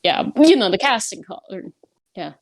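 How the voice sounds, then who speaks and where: A woman's voice: mid-range voice, sing-song, normal speed, no echo, clean studio sound. A young woman voice actor chatting casually as a podcast guest, rambling with lots of fillers and frequent giggles. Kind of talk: casual chat.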